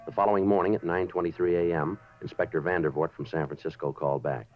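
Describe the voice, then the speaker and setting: An actor playing a terse, patient police detective in a 1950s radio drama, dry and businesslike in speech and narration. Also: male voice, low voice, fairly even intonation, fast talker, lightly acted. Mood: matter-of-fact. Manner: clipped, dry, matter-of-fact. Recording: muffled 1950s radio recording, music behind.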